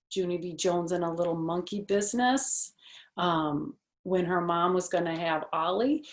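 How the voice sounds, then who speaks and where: A woman's voice: on the low side, vocal fry. A middle-aged or older woman, a grandmother, reading a children's storybook expressively with casual asides. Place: indoor living room on a sofa. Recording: roomy indoor echo.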